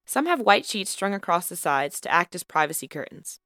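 A woman narrating a student podcast episode in a measured, lightly wry scripted style. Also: lowish voice, big pitch swings, sharp tone, dry recording, clean studio sound. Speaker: podcast host and student reporter.

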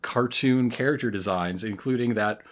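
A man's voice: medium pitch, moderate pace. Male podcast host, mid-range voice, conversational, wry and a little hesitant as he rambles along. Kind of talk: casual chat.

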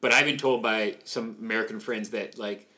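A man with a Canadian accent chatting casually as a podcast co-host, animated and playfully nerdy. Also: fairly low pitch, normal speed. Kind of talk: casual chat.

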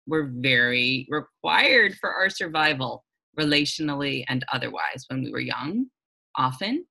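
A woman's voice: rumbling low, lively intonation, a little slow, creaky, pushed and bright, breathy edge. A woman hosting a reflective conversation, thinking aloud with many fillers. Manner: thoughtful, searching, with many fillers.

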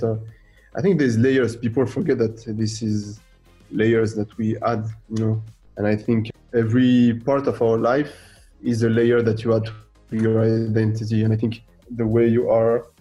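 A man's voice: mid-range voice, moderate pace, soft background music. A middle-aged man with a French accent, a guest artist in a radio interview, talking animatedly, firing rhetorical questions and acting out what others said. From France. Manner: animated, fires rhetorical questions, acts out quoted voices.

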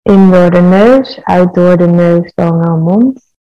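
A woman leading a guided meditation in a calm, measured, soothing manner. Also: fairly low pitch, no echo, lo-fi sound.

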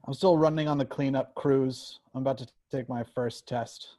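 A man's voice: medium pitch, fairly even intonation, moderate pace, warm tone, lightly acted. A man acting an eager, unsure trainee agent in a screenplay reading, hesitant and full of questions. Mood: tentative.

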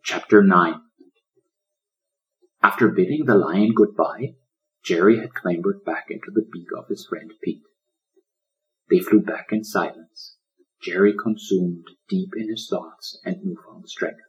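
A man narrates a children's story from a script. His voice sits fairly low and he reads very slowly, as an author presenting his own audiobook. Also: rough recording.

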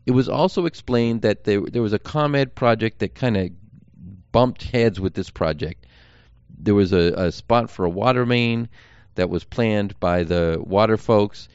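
Man, American accent, smooth clear voice, earnest explainer, candidate's podcast update, dry slightly dull recording. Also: lowish voice, a little slow, a little mellow, no echo. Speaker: political candidate hosting a campaign podcast. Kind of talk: informal campaign update monologue.

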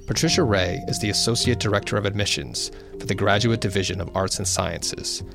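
A man reading scripted narration in a measured, even way. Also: lowish voice, creaky. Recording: voice-over with music behind.

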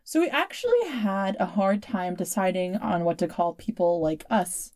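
A woman's voice: mid-range voice, lively intonation, moderate pace, a little mellow. Woman hosting a podcast. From United States. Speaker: podcast host. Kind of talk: podcast narration and chat.

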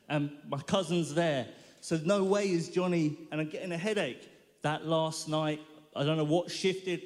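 A man sharing his testimony from a stage, telling an animated story with self-mocking humour. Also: a little high. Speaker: guest speaker. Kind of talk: personal testimony sermon. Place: large hall or tent at a live worship event. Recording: live hall reverb.

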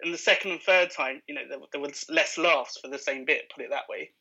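A man in a casual podcast chat who thinks aloud about films. His voice is fairly high and very bright. He repeats his first words before getting going. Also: a little fast, dull audio. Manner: musing, stammering on opening words. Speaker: podcast co-host. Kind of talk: casual film discussion.

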